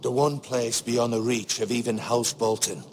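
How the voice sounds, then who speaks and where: An actor playing a prudent older castle steward, loyal and grave, speaking in a measured, earnest, formal way in a fantasy game drama. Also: male voice, mid-range voice, fairly even intonation, darkish tone, a little hiss or hum, natural voice. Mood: solemn, consoling.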